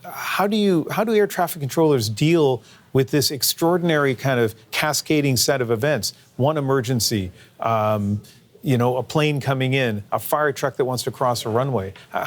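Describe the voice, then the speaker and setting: A man's voice: medium pitch, moderate pace. A man hosting a live news interview in a conversational way, feeling out his questions as he goes.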